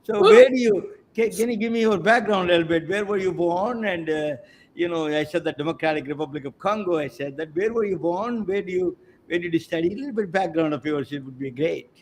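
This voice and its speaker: A man with an Indian accent hosting a webcast interview, halting and restarting often. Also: high-pitched, slight background noise. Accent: Indian English. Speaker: webcast host.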